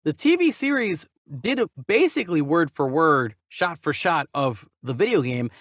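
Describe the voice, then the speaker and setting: A man co-hosting a casual movie news chat, animated and given to exaggerated questioning. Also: on the high side, normal speed, dry recording, clean studio sound. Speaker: movie news podcast co-host.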